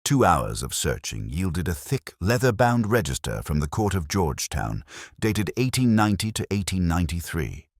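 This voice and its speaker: A man's voice: deep voice, very animated, normal speed, dry recording, clean studio sound. A man reading a detective story aloud as scripted audiobook narration.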